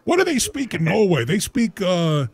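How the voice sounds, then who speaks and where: Gravelly, joking call-in show host man. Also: sits high, wildly swooping pitch, normal speed, faint music behind. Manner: joking, wry, laughs easily. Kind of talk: casual call-in banter.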